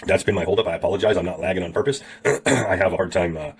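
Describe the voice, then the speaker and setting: Hoarse man with a cold, fast talking. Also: low-pitched. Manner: rambling, frequent throat clearing and fillers. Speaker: YouTube affiliate marketing teacher. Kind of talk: casual tutorial talk to camera.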